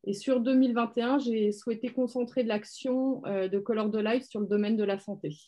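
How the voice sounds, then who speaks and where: A woman's voice: fairly high pitch, fairly even intonation, brisk, mellow. A woman who works as a color strategy expert, explaining her work on a webinar over a video call, with lots of fillers. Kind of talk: webinar presentation.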